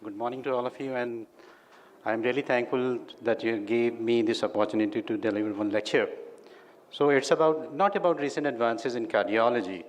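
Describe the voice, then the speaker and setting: A man's voice: medium pitch, fairly quick. A man, politely and formally opening a conference lecture. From India. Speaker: conference speaker. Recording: reverberant hall.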